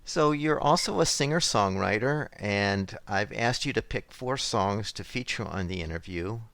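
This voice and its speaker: Calm, low-voiced male host. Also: moderate pace, creaky, dry recording. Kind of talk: scripted intro and interview questions.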